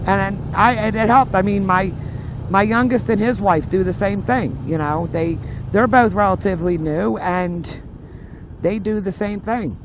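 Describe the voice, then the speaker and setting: A woman's voice: mid-range voice, a little slow. A middle-aged woman vlogger chatting casually in a rambling, conversational way over a helmet intercom while riding a motorcycle. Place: outdoors on a moving motorcycle. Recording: muffled helmet intercom, loud road noise.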